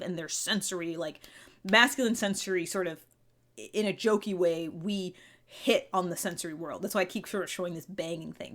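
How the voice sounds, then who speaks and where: A woman's voice: fairly low pitch, fairly bright tone. Chatty woman explaining personality types. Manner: chatty, frequent fillers and restarts. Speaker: podcast host. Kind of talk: casual explanatory monologue.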